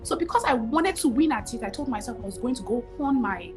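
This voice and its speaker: A woman in her thirties with a Nigerian accent, telling her story as a guest over a video call, expressive and repeating phrases for emphasis. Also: fairly high pitch, fast talker, soft background music. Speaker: guest, cooking show host. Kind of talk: animated storytelling interview. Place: home room with curtains, video call.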